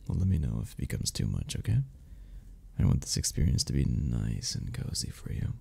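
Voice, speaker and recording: A man playing a flirty, gentle cuddle club member in an intimate romantic ASMR roleplay, speaking softly with a breathy, gravelly voice that often drops toward a whisper. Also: very deep voice, exaggerated voice. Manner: soft, slow, teasing, with frequent chuckles.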